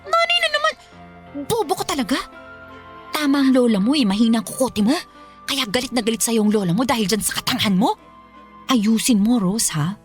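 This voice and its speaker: A woman's voice: a little high, very animated, brisk, long pauses, soft background music. A woman voicing a scheming, cruel middle-aged mother who poses as an aunt, in a radio drama. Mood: scolding. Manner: sugary to husband, cruel and scolding to niece.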